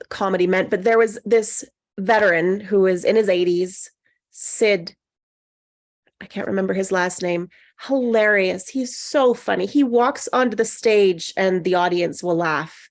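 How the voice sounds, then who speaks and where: A woman talking informally in a group discussion, quick to laugh. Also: mid-range voice. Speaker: actor in table read cast.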